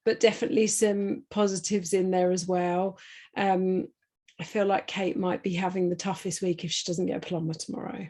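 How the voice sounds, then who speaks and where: A woman leading a group coaching call, encouraging members with soft affirmations. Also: medium pitch.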